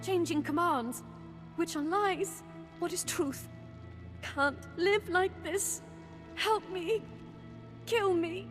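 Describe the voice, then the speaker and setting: A young Sith woman in a video game, her voice very high and slow. She is tormented by voices and speaks in desperate, broken fragments, with music behind her. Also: fairly bright tone, heavily acted. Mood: confused, despairing. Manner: fragmented, halting, desperate short phrases.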